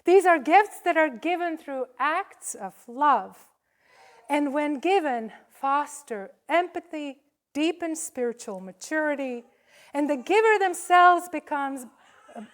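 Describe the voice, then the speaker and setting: A woman giving a conversational sermon to a congregation, speaking as a preacher in short, paused phrases. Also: high voice, expressive.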